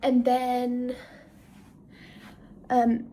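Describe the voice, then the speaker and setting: A woman's voice: fairly high pitch, monotone, extremely slow, mellow, slight background noise. A woman in her twenties chatting casually to camera as a travel vlogger, self-mocking and tired at day's end. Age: 20s. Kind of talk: casual vlog chat to camera.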